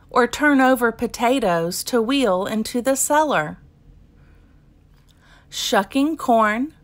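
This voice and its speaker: Slow-paced woman reading a picture book. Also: fairly high pitch. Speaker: storybook reader. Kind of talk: scripted read-aloud narration of a children's picture book.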